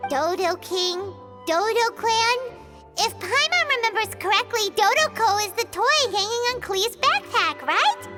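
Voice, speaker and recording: A squeaky, extremely high little fairy sidekick from a video game. The childlike creature is cheeky and opinionated, with big swoops in pitch and loud music playing behind. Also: female voice, big pitch swings, a little slow, heavily acted. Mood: questioning. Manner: speaks of self in third person, exclamatory, explanatory. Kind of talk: video game character voice acting.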